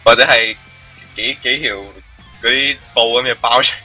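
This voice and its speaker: A man's voice: mid-range voice, steady tone, normal speed, sharp tone, rough recording. A man chatting casually and jokingly while streaming a visual novel, slipping between Cantonese and English. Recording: music playing behind the voice. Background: game music.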